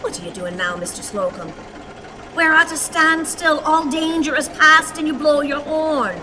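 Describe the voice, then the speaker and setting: A woman's voice: high-pitched, moderate pace, bright, forceful tone. A woman playing a stout, cantankerous, self-pitying old woman in a radio play, with theatrical, exclamatory wailing and lamenting. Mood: dismayed, lamenting. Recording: reverberant hall, audience noise.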